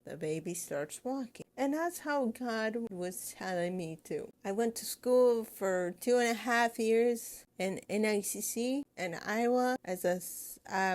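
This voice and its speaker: Young woman, first language Spanish, podcast host, unhurried pace, rambling personal story. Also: mid-range voice, a little mellow. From United States. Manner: rambling, restarts sentences.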